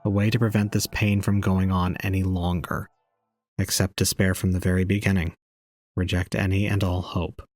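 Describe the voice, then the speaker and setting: An actor playing a cold, calm young man who preaches despair with detached logic in an audio drama. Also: low-pitched, moderate pace, dry recording. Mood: grim, bleak.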